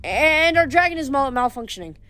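A woman's voice: high-pitched, lively intonation, a little fast, bright, forceful tone, pitched above the performer's own voice. Woman acting a teenage heroine, urgent, persuasive, determined, storybook reading, faint music.

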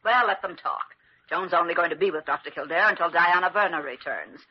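No-nonsense female hospital nursing chief, brisk and wry, vintage radio acting, muffled lo-fi recording. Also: on the low side, breathy edge. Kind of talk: old-time radio drama acting.

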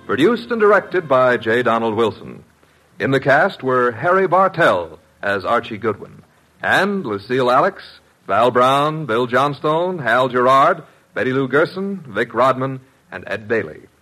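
A man's voice: mid-range voice, pushed and bright, poor audio. A man with an American accent announcing in a polished, emphatic 1950s radio style. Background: faint music.

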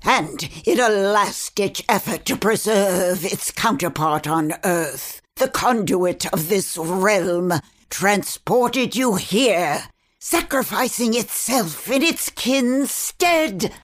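A woman's voice: medium pitch, lively intonation, slow. A woman playing an eccentric older witch, a grandmother in a magical realm, with a theatrical, muttering, exasperated manner in a fantasy audio drama. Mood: grave, explaining. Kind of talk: audio fiction fantasy acting.

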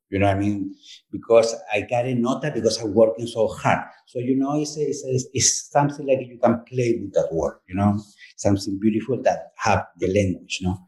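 A man from Chile with a Chilean Spanish accent, a native Spanish speaker, speaking as an author in a podcast interview, halting and searching for words. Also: on the low side, darkish tone, poor audio. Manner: halting, searching for words, frequent "you know what I mean". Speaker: author and performer, guest.

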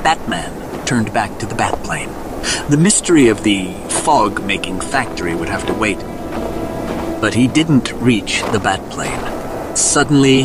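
Animated male story narrator over music. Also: mid-range voice. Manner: suspenseful, dramatic. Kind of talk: scripted dramatic storybook narration.

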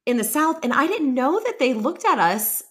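A woman's voice: sits high, very animated, normal speed, fairly bright tone. A woman hosting a podcast, talking in a casual, reflective way with frequent fillers and restarts. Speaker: podcast host. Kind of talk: casual interview chat.

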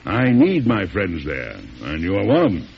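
Gravelly, folksy old president. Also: male voice, low-pitched, wildly swooping pitch, moderate pace, over music, heavily acted. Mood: grave, indignant. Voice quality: gravelly, husky. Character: folksy, shrewd, strong-willed elderly male US president. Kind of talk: old-time radio drama acting. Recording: dull, muffled vintage radio recording.

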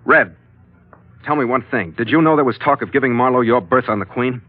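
An actor playing a terse, wry, world-weary ship's skipper in an old hardboiled radio drama. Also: male voice, medium pitch, brisk, no room sound, natural voice. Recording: muffled vintage radio recording.

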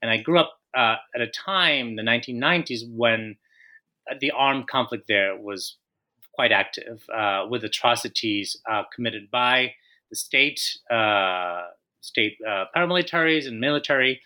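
A man in his thirties from Colombia, a guest author answering interview questions with frequent pauses and restarts. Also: mid-range voice, pushed and bright, low-quality recording. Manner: hesitant, many fillers and restarts.

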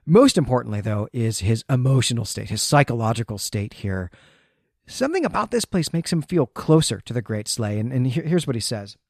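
A man's voice: medium pitch, big pitch swings, fairly quick, no room sound, studio quality. A man hosting a podcast, giving an analytical, conversational recap of a story and repeating words as he thinks aloud. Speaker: podcast host. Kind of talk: conversational literary recap, partly reading quotes aloud.

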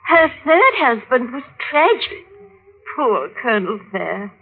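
Scheming middle-aged matchmaking mother, fluttery, animated, hesitant but pushy, vintage radio drama, thin narrowband recording. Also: a little high, slow, heavily acted. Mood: coy, insistent. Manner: hesitant yet insistent. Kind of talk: 1950s radio drama acting. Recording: old narrowband radio recording, faint noise.

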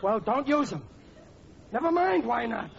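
Blustering, high-pitched working-class sitcom husband. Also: male voice, expressive, unhurried, vocal fry, full character voice. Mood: flustered. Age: middle-aged. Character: excitable, boastful, dim-witted working-class husband and father. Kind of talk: 1940s radio sitcom acting. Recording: muffled, noisy old 1940s radio recording.

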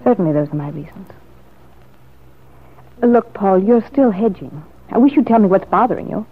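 An actress playing a determined, sharp-tongued woman in a classic radio soap opera, with a haughty, sarcastic manner. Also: medium pitch, lively intonation, normal speed, slightly rough. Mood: insistent. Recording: muffled lo-fi vintage radio recording.

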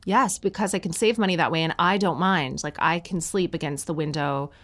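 A woman's voice: fairly low pitch, a little fast, fairly bright tone. A woman in her thirties from Canada, a touring comedian chatting as a guest with dry, self-mocking humor. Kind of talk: casual chat.

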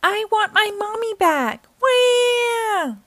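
Storybook giraffe child, played by a woman: small boy, high-pitched, sweet and emotional, very swoopy delivery. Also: lively intonation, drawn-out words, fairly bright tone, no echo, studio quality, full character voice. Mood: wailing, upset.

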